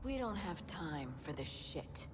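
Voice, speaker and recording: A woman's voice: sits low, sing-song, unhurried, background noise. A woman playing a hardened, cunning betrayer with haunting visions in a video game, guarded and intense. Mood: tense. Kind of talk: dramatic video game acting. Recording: loud music behind the voice. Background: loud game score.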